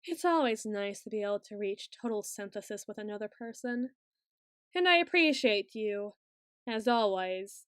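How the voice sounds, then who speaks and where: A woman's voice: mid-range voice, lively intonation, moderate pace, clean tone, no room sound, studio quality, natural voice. A woman playing an awkward, bookish, secretly obsessive student in an ASMR roleplay, speaking in stilted, over-formal phrases with some hesitation. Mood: awkwardly protective.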